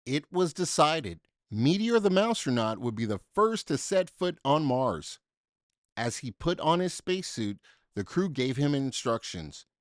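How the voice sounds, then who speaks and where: A man reading a children's story aloud in an expressive, dramatic way, with deliberate emphasis for young listeners. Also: on the high side, no echo, studio quality. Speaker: storybook reader.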